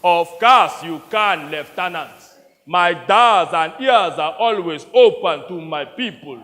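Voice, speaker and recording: A pompous warlord general booms out every line, slow and grandiose, as a man puts him on. His pitch sits high and leaps about. A long echo trails behind each word. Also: expressive, sharp tone, heavily acted. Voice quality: booming, drawn-out declarations.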